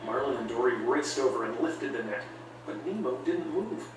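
Male storybook narrator, expressive range, very echoey recording over loud music. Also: mid-range voice, normal speed, distant mic. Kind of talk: scripted narration of a children's read-along story.

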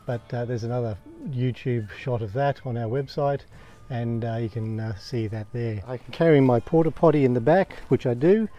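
A man's voice: mid-range voice, dark tone, dull audio. An Australian man talking casually outdoors at home as he walks a camera around his camping gear, with plenty of ums and uhs. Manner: plain, unhurried, many ums and uhs. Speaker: camping vlogger. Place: outdoors, backyard by a caravan.

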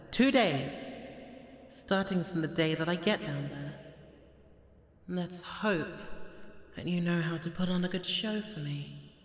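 A haughty, towering goddess, played by a woman, speaks slowly and imperiously in a lowish voice for an audio roleplay. She is menacing and easily exasperated, and her words ring in a long hall reverb. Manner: slow, imperious, condescending, with heavy sighs.

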